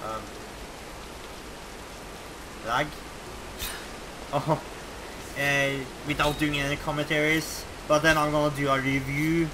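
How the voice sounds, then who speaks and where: Young Norwegian-accented gamer, loud and reactive, somewhat high voice over rainy game sound. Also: male voice, takes it slowly, pauses often. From Norway. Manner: excitable, swearing, reacting loudly to gameplay. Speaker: let's play commentator. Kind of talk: casual gameplay commentary. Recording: game sound behind, rain noise.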